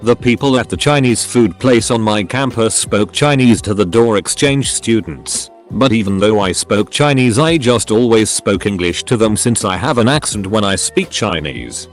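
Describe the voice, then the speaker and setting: A man narrating Reddit posts aloud, reading the stories and their edit notes in an even, uninvolved way. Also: lowish voice, moderate pace, hardly any pauses, faint music behind.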